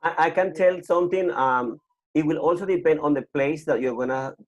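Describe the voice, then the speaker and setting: A man's voice: on the high side, a little fast, dull audio. A man moderating an online session informally, often repeating his phrases. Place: online video call.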